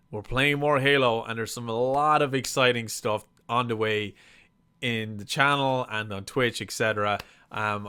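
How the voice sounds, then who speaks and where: Bright, clear-voiced excitable man streaming. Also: medium pitch. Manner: hyped, sweary, exclamatory. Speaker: reaction video host and game streamer. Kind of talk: excited casual reaction chat to viewers.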